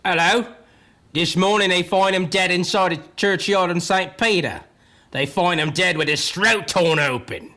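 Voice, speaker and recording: A man's voice: sits high, unhurried, pushed and bright. An actor playing an earnest man in a gothic vampire radio drama, with theatrical delivery. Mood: grave. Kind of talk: dramatic radio-play acting.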